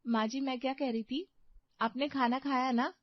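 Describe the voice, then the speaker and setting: A woman's voice: high-pitched, fairly even intonation, moderate pace, dry recording, highs cut off, pitched above the performer's own voice. A woman voicing a young daughter-in-law in a cartoon story, polite and crafty with some exasperation. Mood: coaxing. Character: determined, coaxing young Indian daughter-in-law. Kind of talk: cartoon moral story acting.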